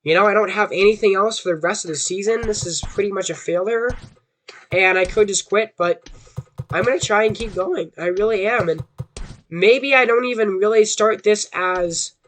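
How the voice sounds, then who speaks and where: Young male gamer, hoarse and high-voiced, rambling and self-doubting; light background noise. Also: moderate pace, fairly bright tone. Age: young adult. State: hoarse from a sore throat. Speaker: gaming YouTuber. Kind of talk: casual rambling commentary.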